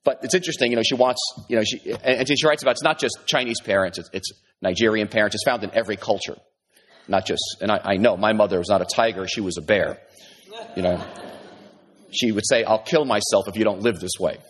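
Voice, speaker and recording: Husky-voiced man preaching conversationally. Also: on the low side, fast, fairly bright tone. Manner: conversational preaching with many restarts and asides. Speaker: pastor. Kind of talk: sermon.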